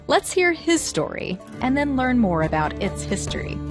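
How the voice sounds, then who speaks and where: A woman telling folk tales as a presenter, with expressive, theatrical, emphatic phrasing. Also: high voice, normal speed, loud music behind the voice. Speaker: storyteller and presenter. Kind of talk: animated storytelling narration of American folklore.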